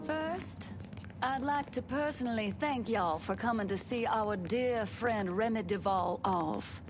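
An actress playing a gracious Southern American society woman, giving a measured, formal eulogy in a video game. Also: fairly high pitch, loud music behind the voice. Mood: solemn, gracious. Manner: formal, measured public address.

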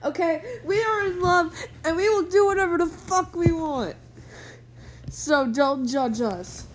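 A woman's voice: extremely high pitch, measured pace, a little hiss or hum. A woman giving a casual, sweary reaction commentary and reading text aloud, sounding sniffly with a cold. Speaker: reaction video host.

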